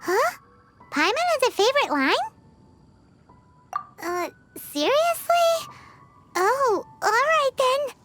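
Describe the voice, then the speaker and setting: Casting: tiny excitable fairy companion, squeaky and childlike, extremely high, drawn-out delivery, anime game. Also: female voice, full character voice. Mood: puzzled, curious. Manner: exclamatory, talks about self in third person. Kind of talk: anime video game voice acting. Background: game music.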